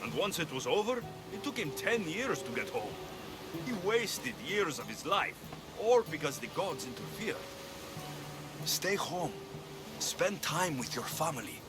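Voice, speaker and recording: A man's voice: a little high, big pitch swings, unhurried. A man voicing a guarded, wry young Greek mercenary in a cinematic video game, terse and probing. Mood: earnest. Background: background music.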